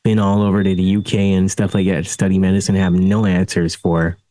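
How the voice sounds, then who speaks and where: Host: man with a low voice, chatty storyteller, dry close recording. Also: little pitch movement, brisk, dark tone, no echo. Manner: chatty, laughs through stories. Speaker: podcast host. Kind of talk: casual interview conversation.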